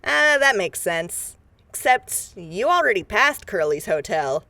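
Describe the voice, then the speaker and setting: A woman's voice: mid-range voice, big pitch swings, measured pace, bright, forceful tone, dry recording, exaggerated voice. An actress playing a chatty, folksy, suspicious townswoman in a post-apocalyptic audio drama. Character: Tammy, chirpy, nosy, suspicious townswoman.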